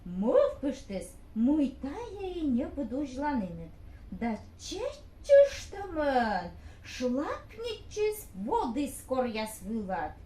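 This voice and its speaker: A woman narrating a fairy tale for a puppet show with slow, expressive telling. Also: sits high. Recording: echoey room with faint hiss.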